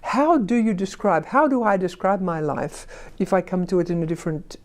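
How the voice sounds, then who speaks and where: A woman's voice: lowish voice, brisk, a little mellow. A woman and language teacher in a conversational discussion, emphatic and asking herself rhetorical questions.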